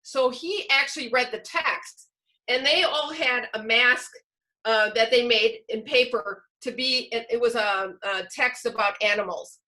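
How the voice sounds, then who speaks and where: A woman presenting an online teacher training, speaking loudly and encouragingly as she explains and answers questions informally. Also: fairly high pitch, a little slow, low-quality recording. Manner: loud, projected, encouraging. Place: online video call.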